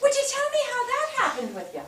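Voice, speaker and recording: Woman as high-voiced, curious listener. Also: huge pitch swings, fast, exaggerated voice. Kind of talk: staged play reading. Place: theatre stage. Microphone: distant microphone. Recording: distant, echoey, noisy stage pickup.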